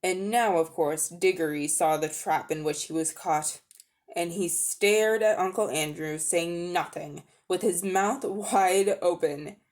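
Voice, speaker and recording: A woman reading a storybook aloud as narrator, with expressive scripted delivery. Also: on the low side, a little slow. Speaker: audiobook narrator.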